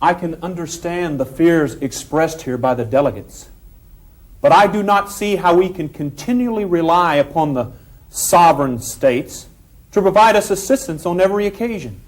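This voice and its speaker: An actor playing a forceful eighteenth-century American convention delegate, arguing formally and insistently in a period drama. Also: male voice, high voice. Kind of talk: period stage-like debate acting.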